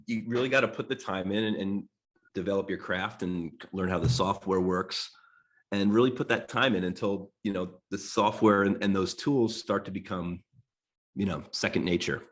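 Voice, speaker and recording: A deep, low-pitched American man in his forties talks casually to a class. He sounds nasal from a cold and clears his throat often. He rambles, restarts his sentences and leans on fillers. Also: moderate pace, fairly bright tone. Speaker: guest designer giving a talk. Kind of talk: casual talk to a design class.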